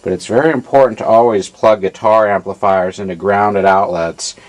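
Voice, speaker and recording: A man giving an informal hands-on technical demonstration in a halting, matter-of-fact way, as a repair hobbyist. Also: fairly low pitch, fairly even intonation, rough recording. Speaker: amplifier repair hobbyist demonstrating his work.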